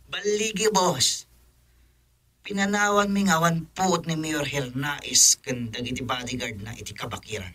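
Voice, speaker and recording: A gloating male henchman in an Ilocano radio drama. His sharp, forceful voice sits slightly high and carries an Ilocano accent. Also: a little slow, long pauses, rough recording. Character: gloating villainous henchman reporting to his boss.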